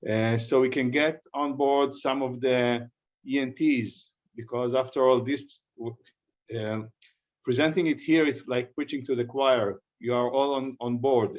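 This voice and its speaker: Israeli man on a lo-fi call. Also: mid-range voice. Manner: halting, with restarts and self-corrections. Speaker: guest presenter. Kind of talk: online lecture introduction. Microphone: video call. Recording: lo-fi video call audio.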